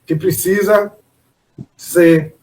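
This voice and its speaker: A man with a fairly high voice hosts a casual talk show. He speaks in a chatty way and keeps checking in with his guests through little tag questions. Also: steady tone, drawn-out words, darkish tone, rough recording.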